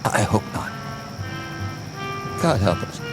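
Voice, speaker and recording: Music swells behind a troubled army lieutenant acted by a man in a war radio play. He speaks very slowly and haltingly, like an officer shaken by his conscience. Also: natural voice. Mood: pleading, troubled. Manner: hesitant, halting. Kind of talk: radio drama acting.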